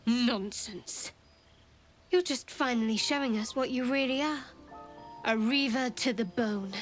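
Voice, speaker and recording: An actress playing a guarded, sardonic young female cleric of a dark goddess in a dramatic video game, speaking in a dry, cutting way. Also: fairly high pitch, measured pace, soft background music, natural voice. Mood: cold, threatening.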